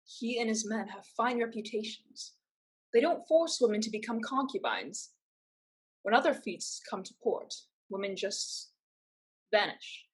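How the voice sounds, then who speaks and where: A woman's voice: fairly high pitch, sing-song, unhurried. A woman playing a bold, headstrong, defiant young survivor in a staged play reading, theatrical and emphatic. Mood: persuasive.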